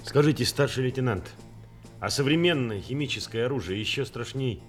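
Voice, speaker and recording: An actor playing an inquisitive, reserved news correspondent asking questions in a radio play. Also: male voice, mid-range voice, animated, brisk, faint music behind, natural voice. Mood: curious, troubled. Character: inquisitive male news correspondent visiting the army.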